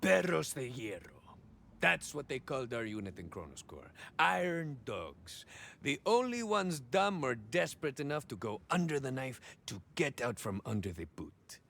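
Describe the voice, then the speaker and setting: A man's voice: medium pitch, sing-song, normal speed, gravelly, fairly bright tone, full character voice. An actor playing a cocky, rambling cyborg ex-soldier in a video game, with a Mexican-American accent and bits of Spanish mixed into his hesitant, theatrical talk. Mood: somber. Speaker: playable game character. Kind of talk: video game idle monologue acting.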